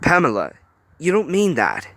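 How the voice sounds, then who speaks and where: Guy doing a defensive young dude, pitched up, hissy background. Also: normal speed, heavily acted. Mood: indignant. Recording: steady background noise.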